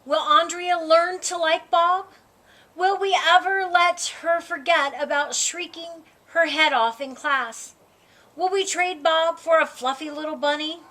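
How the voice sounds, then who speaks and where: Bright, high-pitched woman reading a children's story. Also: measured pace. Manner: expressive, dramatic emphasis. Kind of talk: animated read-aloud narration in a child character's voice.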